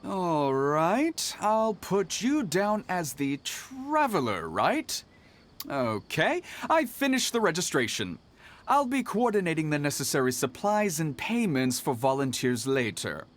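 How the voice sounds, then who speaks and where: A chatty academy clerk. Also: male voice, fairly high pitch, sing-song, measured pace. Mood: businesslike. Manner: businesslike, explaining at length. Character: helpful, talkative male academy clerk.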